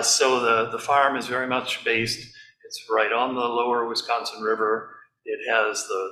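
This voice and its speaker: A man giving an informal talk to a group, relaxed and halting, with many fillers. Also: lowish voice, slight background noise. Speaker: speaker leading a group talk. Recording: echoey room.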